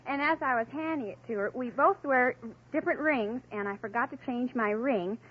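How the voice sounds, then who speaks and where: A woman's voice: a little high, a little fast, slightly rough. A young woman with an American accent, a contestant telling chatty anecdotes on a vintage radio quiz show. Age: young adult. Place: radio studio with live audience. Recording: dull vintage radio recording, faint audience.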